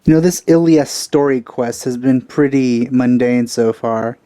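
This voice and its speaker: A man's voice: mid-range voice, measured pace, warm tone, dry recording. A man giving casual let's-play commentary, musing idly as he plays. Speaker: gamer, video host.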